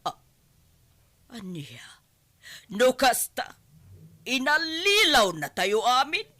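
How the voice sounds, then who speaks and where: Scornful mother-in-law, played by a woman, Ilocano accent, forceful bright voice, lively intonation, scolding, radio drama. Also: high-pitched, takes it slowly, exaggerated voice. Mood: outraged. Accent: Filipino, Ilocano. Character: disapproving, sharp-tongued mother-in-law, scornful and meddling.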